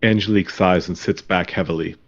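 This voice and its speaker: A man narrates scripted stage directions in a deep voice. His delivery is deadpan. The recording is a little dull and lo-fi. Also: brisk.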